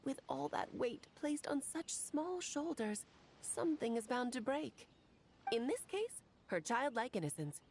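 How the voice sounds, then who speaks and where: A woman's voice: high-pitched, sing-song, measured pace, a little mellow, slight background noise. A woman voicing a playful, theatrical witch mentor who talks in a grand, teasing and affectionate way, in video game dialogue. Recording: faint game music behind.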